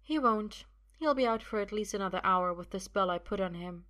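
A woman voicing a quiet, calm and deliberate young sorceress with cool menace in an audiobook. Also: mid-range voice, close to an everyday voice. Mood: calm, intent.

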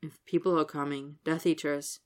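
A hulking teenage boy mutters short, blunt lines in a voice pushed low, as a woman reads him, guarded and sullen. The storybook teen keeps his words clipped and heavy. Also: little pitch movement, normal speed, darkish tone, lightly acted.

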